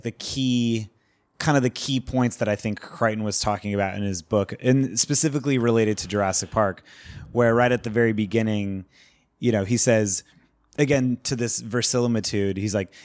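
A male podcast host with a fairly low voice, recorded dry and close with no room sound. He talks in an easy, relaxed manner and reads passages aloud at an even pace. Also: no echo. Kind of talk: casual podcast chat.

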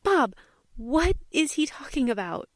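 An actress playing a passionate young heiress with a dramatic, protesting manner in a 1940s-style radio drama. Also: female voice, high voice, sing-song, measured pace, no room sound. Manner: dramatic, emphatic.

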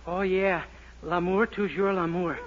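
A man's voice: sits high, steady tone, a little slow, lo-fi sound, a little hiss or hum. An actor playing a naive, bashful young man who gets flustered easily, in 1940s radio sitcom acting.

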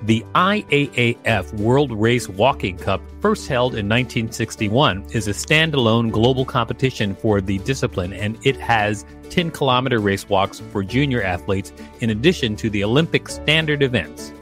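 A man's voice: mid-range voice, expressive, normal speed, few pauses, fairly bright tone, faint music behind. A man co-hosting a podcast, reading an article aloud with casual commentary. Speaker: podcast co-host.

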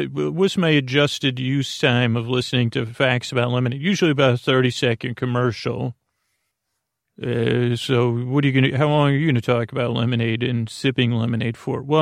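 Creaky-voiced man, soothing and rambling. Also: medium pitch, no echo, studio quality. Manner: meandering, tangent-prone, halting with stammered self-corrections; soothing, lulling. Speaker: podcast host. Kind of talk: rambling bedtime sleep podcast monologue.

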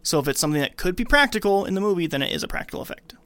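A man with a fairly high-set voice retells a movie as a podcast host. He talks informally and with animation, slipping into slang. The sound is dry, with very little room on it. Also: animated, rapid-fire, a bit bright, smooth, dry recording. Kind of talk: casual movie recap, retelling scenes with acted quotes.

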